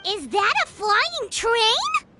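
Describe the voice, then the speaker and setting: Squeaky, wary little sidekick. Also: female voice, wildly swooping pitch, extremely slow, very noisy, full character voice. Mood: skeptical, worried. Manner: doubtful, questioning. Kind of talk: scripted video game voice acting.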